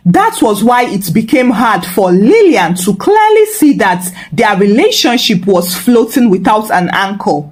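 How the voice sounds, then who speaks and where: A woman with a Nigerian accent narrating a scripted folktale in an expressive, humorously dramatic way. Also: medium pitch, a little slow, few pauses. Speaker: storyteller, narrator.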